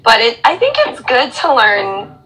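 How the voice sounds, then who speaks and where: American female teacher, guest in a casual chat, explains with examples, bright and crisp. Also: fairly high pitch, big pitch swings, measured pace, rough recording. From United States. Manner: friendly, explanatory, uses examples.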